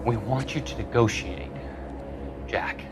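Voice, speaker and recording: An actor playing an anxious young medical student who pleads earnestly for help. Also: male voice, mid-range voice, steady tone, measured pace, close to an everyday voice. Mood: pleading. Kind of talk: video game cutscene acting. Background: music score.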